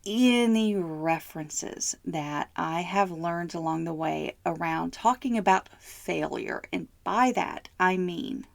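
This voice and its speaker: A middle-aged woman hosting her own podcast, hoarse from bronchitis, speaking slowly, heartfelt and candid. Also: on the low side, no echo. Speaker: podcast host. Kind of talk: solo podcast monologue.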